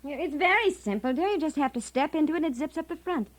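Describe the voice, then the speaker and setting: Fussy american housewife, 60s radio comedy, kinda high very lively voice, keeps coaxing her husband. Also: fast talker. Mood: coaxing, fussing. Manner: fussing, cajoling. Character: coaxing, persistent middle-aged suburban wife. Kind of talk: 1960s radio comedy sketch acting.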